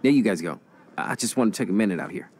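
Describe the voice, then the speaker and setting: An actor playing a blunt, cocky young gang boss in a video game cutscene. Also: male voice, fairly low pitch, expressive, very fast, a little mellow, slight background noise, close to an everyday voice. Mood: friendly. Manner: blunt, cocky, plain-spoken.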